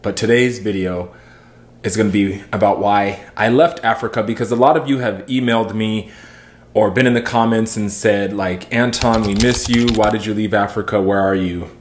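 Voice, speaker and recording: A man's voice: fairly low pitch, fairly even intonation, moderate pace, a little hiss or hum. A man talking casually to his viewers in a vlog. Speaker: video blogger. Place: at home.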